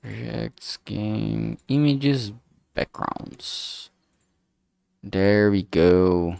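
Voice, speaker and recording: A streamer talking casually while working, thinking aloud and muttering in a halting way. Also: mid-range voice, creaky, a little mellow, no echo, clean studio sound.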